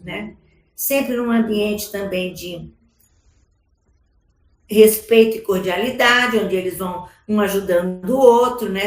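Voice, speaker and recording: Brazilian woman giving an echoey conference talk. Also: medium pitch. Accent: Brazilian Portuguese. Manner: explanatory, frequent tag questions. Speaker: education speaker. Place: large conference hall.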